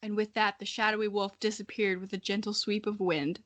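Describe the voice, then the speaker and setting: Bright young woman reading aloud, amused. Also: mid-range voice, level tone, a little fast, dry recording. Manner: amused, self-mocking. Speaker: podcast host. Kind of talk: dramatic reading of childhood story with casual asides.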